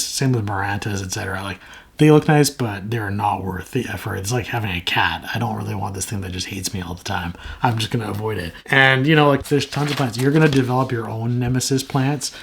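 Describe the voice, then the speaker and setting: Hoarse, gravelly man chatting about houseplants. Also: lowish voice, brisk. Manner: rambling, frank, self-mocking. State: hoarse from a sore throat. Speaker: plant channel host. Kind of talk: casual talk to camera.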